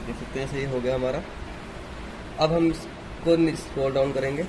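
A man's voice: mid-range voice, fairly even intonation, moderate pace. A man giving an unscripted step-by-step installation tutorial in a plain, instructional way, recorded indoors on a handheld device. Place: indoors in a room. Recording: clearly noisy amateur handheld recording.

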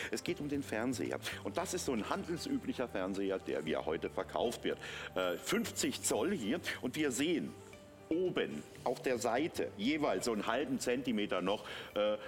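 A middle-aged man demonstrates a product like a TV shopping presenter. His pitch moves up and down in lively swings as he explains things conversationally, with some music behind him in the studio. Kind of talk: live product demonstration and sales talk.

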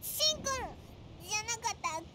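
A woman voicing a small, childlike fairy companion in an anime game, squeaky and excitable, with blunt boyish speech. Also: fast. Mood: worried.

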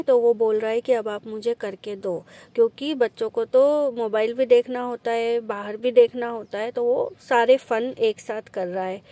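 A woman's voice: on the high side, dark tone. A woman from India narrating a casual travel vlog in a chatty, easygoing way while filming a village walk. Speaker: vlogger. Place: rural village outdoors, later in a car at night.

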